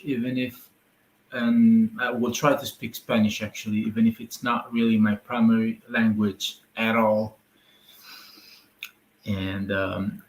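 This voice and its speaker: Slow-talking Italian man on a livestream. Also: mid-range voice, echoey room, a little hiss or hum, poor audio. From Italy. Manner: hesitant, halting, switching between Spanish and English. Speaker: event organizer hosting a live online meeting. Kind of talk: livestream briefing.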